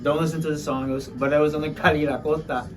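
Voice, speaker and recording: A man's voice: fairly high pitch, little pitch movement, quick pace. Guy from puerto rico doing a casual interview, slangy and candid, bit far from the mic w music behind. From Puerto Rico, later urban Florida.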